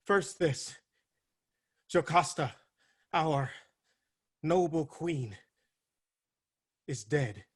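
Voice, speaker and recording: An actor playing a grim palace messenger, giving a grave and theatrical recitation in a staged reading held over a video call. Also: male voice, on the high side, lively intonation, drawn-out words, pauses often, slightly rough, full character voice. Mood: grieving. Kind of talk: staged reading of a Greek tragedy.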